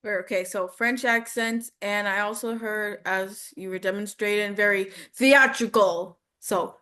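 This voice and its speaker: A woman's voice: mid-range voice, measured pace, bright, forceful tone. A woman chatting casually as a student in a voice acting lesson.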